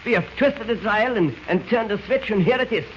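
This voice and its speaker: Scratchy old radio recording, ruthless villain, exultant, theatrical, animated swooping pitch, heavy noise. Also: male voice, fairly quick, lo-fi sound. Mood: gloating triumph. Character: gloating, ruthless male villain, spy ring leader. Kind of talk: 1940s radio drama acting.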